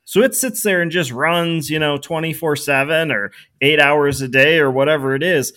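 A man brainstorming casually on a podcast in an animated, playful way. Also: on the high side, normal speed. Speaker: podcast panelist pitching an invention. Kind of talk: casual excited brainstorming chat.

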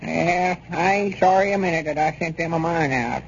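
An actor playing an opinionated old country grandpa with a folksy rural Southern accent in a 1930s radio comedy. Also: male voice, a little high, moderate pace, close to an everyday voice. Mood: contrary. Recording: noisy, dull 1930s radio recording.

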